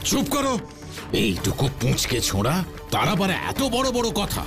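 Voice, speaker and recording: A man's voice: mid-range voice, wildly swooping pitch, moderate pace, loud music behind the voice. Swooping, pompous village holy man. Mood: scolding, evasive. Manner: grandiose, exclamatory, scolding. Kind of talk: cartoon voice acting.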